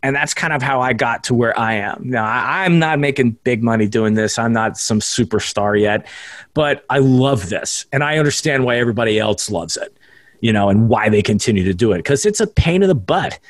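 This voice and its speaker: A young man who works in radio talks as a podcast guest, rambling happily with jokey, self-deprecating asides. His voice sits at an ordinary pitch for a man and is recorded dry and close, with no room sound. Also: animated, moderate pace, dry recording. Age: young adult. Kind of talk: casual podcast interview chat.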